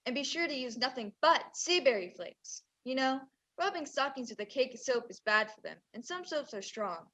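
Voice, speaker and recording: A woman's voice: high voice, lively intonation, moderate pace, fairly bright tone. A woman playing a helpful friend giving advice in an old-time style radio commercial. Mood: advising. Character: helpful, advising female friend in radio advert.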